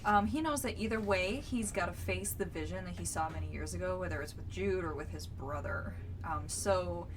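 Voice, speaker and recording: A woman's voice: lowish voice, normal speed, hardly any pauses, noisy background. Informal, slangy woman discussing books. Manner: informal, slangy, swears freely. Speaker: book podcast co-host. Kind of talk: casual read-along book discussion.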